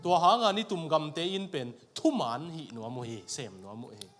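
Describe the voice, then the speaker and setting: Fairly high-voiced male sermon interpreter. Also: moderate pace. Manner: repeats each phrase right after the preacher, with a lively lift. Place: church sanctuary.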